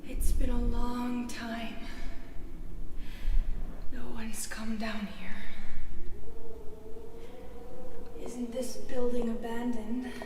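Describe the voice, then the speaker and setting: A woman playing a menacing, mocking female monster in an audio roleplay, whispering and taunting in a deliberate way. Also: a little high, flat delivery, noisy background. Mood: quiet, eerie menace. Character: menacing, mocking modern Medusa, undying lonely female monster. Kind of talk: intimate audio roleplay, dramatic monologue.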